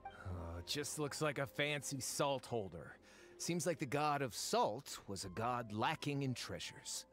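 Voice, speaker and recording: A man's voice: mid-range voice, animated, a little slow, fairly bright tone. An actor playing a greedy, pompous, blustering man who sponsors a treasure hunt in an anime game, with a theatrical delivery. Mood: dismissive. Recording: music behind the voice.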